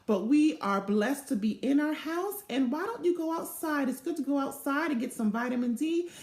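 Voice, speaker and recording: A middle-aged woman reading a children's storybook aloud in a deliberate, expressive way, recorded in a small room. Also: sits high. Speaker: volunteer storybook reader. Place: small indoor room or home office. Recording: roomy, echoing home webcam recording.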